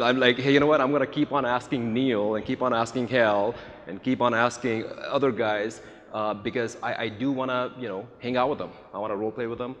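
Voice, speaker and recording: A man in his forties from India speaks as a guest on stage. His voice is mid-pitched, earnest and a little halting as he restarts phrases. It carries through a reverberant hall. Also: a bit bright. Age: 40s. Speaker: real estate agent, guest speaker. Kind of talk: on-stage interview answers. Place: large event hall with audience. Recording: echoey hall with faint noise.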